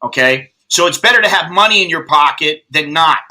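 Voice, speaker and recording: American guy late 50s, rambly jokey chat, swears a lot, really bright voice, kinda high. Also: animated, brisk. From United States. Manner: rambling, profane, joking. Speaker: host. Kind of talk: casual chat.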